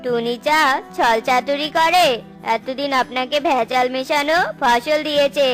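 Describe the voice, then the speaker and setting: A woman's voice: high voice, steady tone, unhurried, soft background music. A woman voicing a scheming, jealous crow in a cartoon, sneering and gloating. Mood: accusing. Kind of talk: cartoon voice acting.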